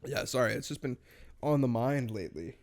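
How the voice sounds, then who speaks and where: A man's voice: mid-range voice, animated, brisk, creaky. A young man co-hosting a podcast in casual chat, joking and laughing, sometimes getting stuck on words. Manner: joking, laughs a lot, gets hung up on words. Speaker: podcast co-host.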